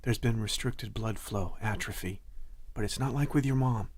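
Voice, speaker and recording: A man playing a gentle, worried sweetheart in an audio drama, speaking softly, haltingly and tenderly. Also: on the low side, slightly rough. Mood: gentle, pained. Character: gentle, worried man comforting his sick sweetheart, Nick.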